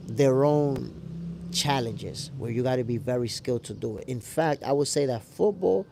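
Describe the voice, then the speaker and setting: An American man with a gravelly voice hosting a casual livestream, talking to viewers in an animated, slangy way. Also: medium pitch, slight background noise. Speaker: host of a boxing show livestream, reading viewer comments. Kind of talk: casual livestream chat with audience.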